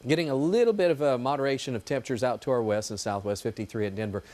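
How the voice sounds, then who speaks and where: A man's voice: mid-range voice, very fast, darkish tone. A man giving an ad-libbed TV weather forecast in a chatty, folksy, informal way.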